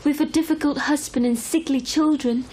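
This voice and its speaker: A woman's voice: high voice, steady tone, moderate pace, darkish tone, natural voice, poor audio. A woman voicing a wealthy, discontented courtesan in a cartoon, speaking earnestly and formally as she seeks freedom. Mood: melancholy. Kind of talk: cartoon voice acting.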